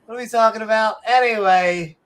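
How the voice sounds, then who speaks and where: A woman co-hosting a comedic podcast, sarcastic and animated, full of asides. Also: fairly high pitch, a little fast. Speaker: podcast co-host. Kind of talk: casual comedic chat.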